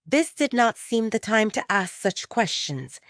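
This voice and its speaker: A woman's voice: on the high side, very animated, unhurried, no room sound, clean studio sound. A woman narrating a scripted romance story for an audio drama.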